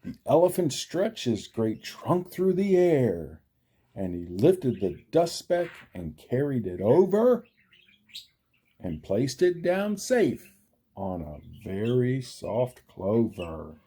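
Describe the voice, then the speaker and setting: A man reading a children's storybook aloud with dramatic, deliberate delivery. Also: medium pitch, very animated, dark tone, breathy edge. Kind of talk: expressive children's read-aloud. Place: outdoors.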